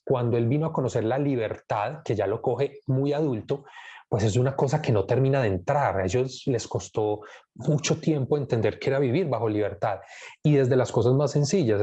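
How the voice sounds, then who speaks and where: A man hosting a film club, talking casually and reflectively, thinking aloud with many pauses and fillers. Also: medium pitch, mellow. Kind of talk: casual live film commentary.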